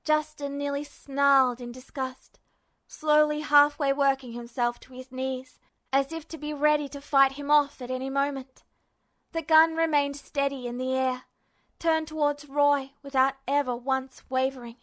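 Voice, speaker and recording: Female audio-fiction narrator, high-pitched and bright, dramatic scripted delivery. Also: fairly even intonation, a little slow. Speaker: podfic narrator.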